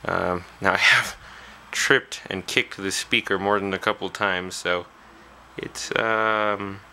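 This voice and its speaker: A man's voice: deep voice, fairly even intonation, slow, pushed and bright, a little hiss or hum, poor audio. A man talking casually and off the cuff as a hobbyist presenter, hesitantly thinking aloud at an indoor workbench on a camera's built-in mic. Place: small indoor workshop at a bench.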